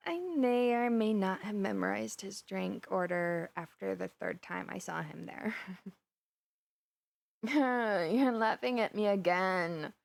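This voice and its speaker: A woman's voice: mid-range voice, vocal fry, no echo. A woman playing a flustered, lovestruck supervillainess in a roleplay audio drama, chatting intimately and dramatically to her best friend. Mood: playfully huffy. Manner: chatty, dramatic, playfully indignant, with sighs and gasps. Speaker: voice actor.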